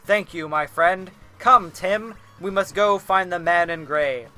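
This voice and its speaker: A noble male hero of a storybook adventure, put on by a reader. He declaims earnestly in formal phrases, with a bright, forceful edge. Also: medium pitch, slow, faint music behind. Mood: eager.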